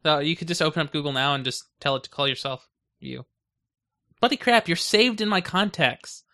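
A man's voice: on the high side, fairly quick, sharp tone. A man co-hosting a podcast in casual chat, telling things in a rambling, conversational way. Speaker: podcast co-host.

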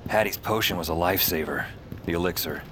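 An actor playing a weary, deadpan, sardonic dispatcher who narrates his own noir tale in a fiction podcast. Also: low voice, fast talker, background noise, close to an everyday voice. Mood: wry, storytelling.

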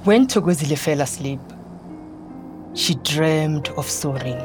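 Lowish female voice, storybook podcast narrator, deliberate phrasing, music playing behind. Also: animated. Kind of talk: scripted children's storytelling narration.

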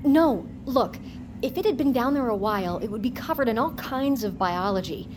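An actress playing an eager, knowledgeable marine scientist in an audio drama, precise and insistent. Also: female voice, on the high side, animated, moderate pace, background noise, lightly acted. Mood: explanatory. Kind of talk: naturalistic audio fiction acting.

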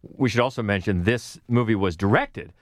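A man's voice: lowish voice, normal speed, dry recording, studio quality. A man co-hosting a film review show, talking casually and conversationally about movies. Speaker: film review podcast co-host.

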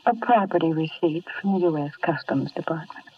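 A woman's voice: sits low, a little fast, slightly rough, no room sound. An actress playing a coy, sly young woman in a 1950s radio drama. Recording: dull, distant 1950s radio recording.

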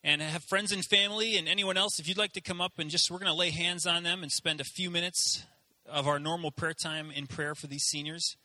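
Bright-voiced pastor inviting prayer. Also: male voice, fairly high pitch, fairly even intonation, a little fast, hardly any pauses, no echo. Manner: casual, encouraging. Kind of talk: church announcement.

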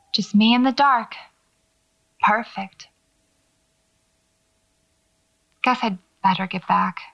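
A woman's voice: medium pitch, takes it slowly, vocal fry, bright, forceful tone, full character voice. A woman acting in an audio drama as a wry horror novelist who records understated, musing field notes to herself while out alone at night. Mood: let down. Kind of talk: naturalistic audio fiction monologue, spoken field notes. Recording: dull lo-fi cassette-style tape.

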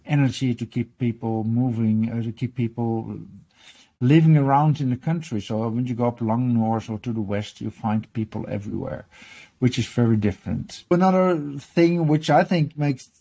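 A middle-aged man from the Netherlands, a guest in a casual interview who talks in a halting way, repeating words and restarting. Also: medium pitch, dark tone. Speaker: guest, e-health journalist.

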